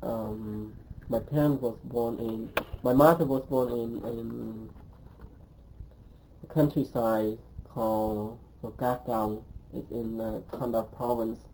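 A man's voice: mid-range voice, fairly even intonation, warm tone, slight background noise, rough recording. A man in his twenties from Cambodia, speaking English, recalls his life in an oral history interview and often stops to say um. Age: 20s. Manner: hesitant, pauses often with um.

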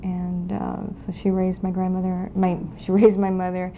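Low-voiced woman in her forties, gravelly. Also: completely flat delivery. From Los Angeles, California. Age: 40s. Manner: reflective, halting, with many pauses and fillers. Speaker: oral history interviewee. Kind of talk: recorded oral history interview. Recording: dull lo-fi 1992 tape with faint hiss.

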